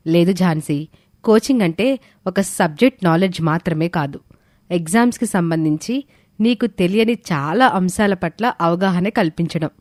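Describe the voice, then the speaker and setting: A woman acts out a caring female teacher in an audio story. Her voice sits a little low and sounds dry and close, patient but firm as she encourages. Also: expressive, normal speed, darkish tone, no echo, close to an everyday voice. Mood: instructive. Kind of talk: scripted audio story dialogue.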